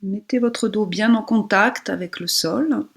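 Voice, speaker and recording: Very slow, breathy woman guiding relaxation. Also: mid-range voice. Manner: soothing, unhurried instructions with pauses. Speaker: yoga instructor. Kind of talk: guided relaxation meditation.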